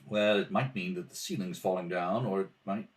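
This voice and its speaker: A man's voice: low-pitched, normal speed, far from the mic, lightly acted. A man playing a cool, wry, take-charge detective husband in an amateur radio mystery read-through. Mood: cool, deducing. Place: at a kitchen table at home.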